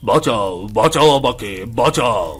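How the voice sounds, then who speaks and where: A man's voice: medium pitch, expressive, normal speed, a bit bright, slight background noise, lightly acted. Man acting a blustering, cowardly village exorcist. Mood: terrified. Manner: bombastic chanting, theatrical. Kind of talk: animated cartoon voice acting.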